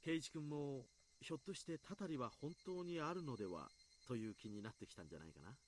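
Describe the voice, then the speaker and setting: A man's voice: medium pitch, lively intonation, racing, slight background noise, close to an everyday voice. A man voice-acting in a Japanese visual novel, playing a friendly, chatty adult who recounts grim incidents with dramatic storyteller emphasis. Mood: conversational.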